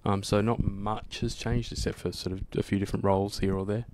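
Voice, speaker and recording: A young Australian man chatting in a relaxed, rambling way on a church panel into a handheld mic. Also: lowish voice, fairly quick. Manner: relaxed, rambling, says sort of often. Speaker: panel guest. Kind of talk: casual panel chat. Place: church studio set, indoors. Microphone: handheld microphone.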